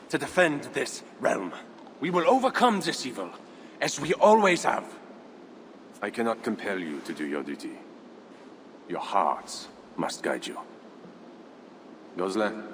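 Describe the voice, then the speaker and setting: A solemn Frankish lord in a video game, low in pitch and lively in his formal oratory. His words echo in a long hall over a clear rumble. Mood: rousing. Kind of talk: dramatic video game acting.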